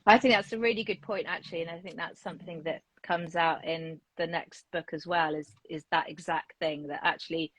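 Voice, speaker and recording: A woman in her forties, a picture book author, talking in a relaxed interview with many restarts and fillers. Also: deep voice, fairly quick, hardly any pauses, no echo, rough recording. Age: 40s. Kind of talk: casual interview chat.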